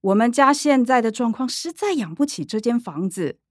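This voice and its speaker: A woman's voice: fairly high pitch, animated, normal speed, dry recording, studio quality. An actress playing a middle-aged mother in a radio drama, chatty and complaining, with a Taiwanese accent. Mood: placating. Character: middle-aged mother, coaxing with daughters, exasperated with grandma.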